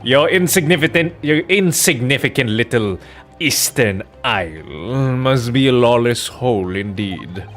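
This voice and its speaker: Haughty male prosecutor for a courtroom game, acted by a man: sneering, pompous, theatrical, very animated intonation. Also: mid-range voice. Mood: indignant scorn. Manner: pompous, drawn-out, disdainful delivery.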